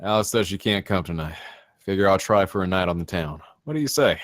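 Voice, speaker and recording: A man plays a tough, guarded man with a generous streak in a screenplay table read. His voice sits a bit low and he keeps his delivery terse and offhand. The sound is close and dry. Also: lively intonation, a little fast, no echo. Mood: casual.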